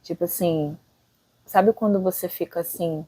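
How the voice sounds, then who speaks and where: A woman's voice: lowish voice, fairly even intonation, measured pace, mellow, dry recording, low-quality recording. A woman in her late twenties hosting her own channel, chatting casually and thinking aloud, often tagging her sentences with little questions. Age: late 20s. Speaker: channel host. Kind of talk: casual reflective vlog talk.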